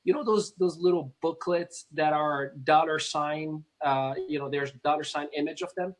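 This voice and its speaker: A man's voice: on the high side, moderate pace. Earnest Moroccan man telling his story. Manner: earnest, repeats words and restarts, many fillers. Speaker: interview guest. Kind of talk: conversational storytelling interview.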